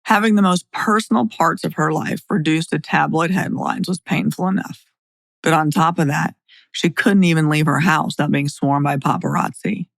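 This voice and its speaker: Middle aged woman podcast host, blunt lawyer type, gravelly fry in her voice, dry close sound. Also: sits low, normal speed, no room sound. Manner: blunt, plain-spoken. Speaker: podcast host and divorce attorney. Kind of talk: scripted narration and opinionated podcast talk.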